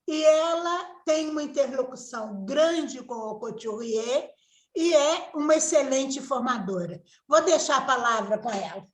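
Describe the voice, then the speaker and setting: Older woman, high voice, unhurried admiring intro, echoey room. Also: animated. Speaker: trainer introducing a guest speaker. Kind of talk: warm spoken introduction.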